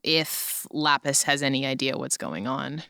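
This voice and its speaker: A man plays a casual, slangy storyteller in an audio drama. The voice is bright and very clear at middle pitch, dry and close, with a fond informal manner. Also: animated, measured pace, no room sound, full character voice. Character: casual, blunt narrator, a fond coworker of the characters.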